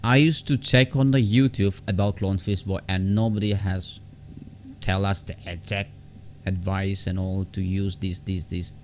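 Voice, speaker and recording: Male tutorial vlogger, very low creaky voice, informal and halting, repeats himself, faint background noise. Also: steady tone, a little mellow. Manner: halting, repeats words and phrases. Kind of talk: informal step-by-step software tutorial. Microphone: separate desktop condenser microphone.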